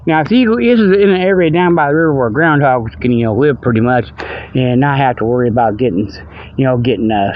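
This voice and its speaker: A man's voice: on the high side, muffled recording. An older man hosting a hobby radio show, rambling in a folksy, joking, meandering way. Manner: folksy, meandering, chuckles at own jokes. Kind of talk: rambling casual monologue, joking. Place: outdoors by a river.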